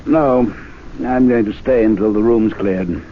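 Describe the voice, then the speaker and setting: An actor playing a well-spoken club president who is polite but insistent, in a 1970s radio drama. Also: male voice, fairly low pitch, measured pace, a little hiss or hum, lightly acted. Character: poised male club president, firm and smooth. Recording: dull lo-fi old radio recording.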